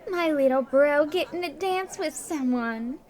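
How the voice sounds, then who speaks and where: A woman playing a shy, lovestruck teenage girl in an animated fan drama, timid and hesitant. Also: high voice, measured pace. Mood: wistful.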